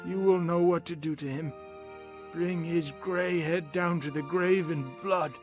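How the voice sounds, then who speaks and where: An actor playing a dying old king in a dramatized scripture reading, solemn, deliberate and commanding. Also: a little high, steady tone, faint music behind, natural voice. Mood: grave, stern.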